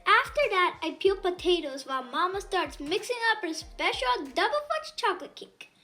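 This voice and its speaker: A woman reading a children's picture book aloud in an animated, expressive storytelling way. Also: extremely high pitch, moderate pace, faint music behind. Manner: animated, dramatizes dialogue.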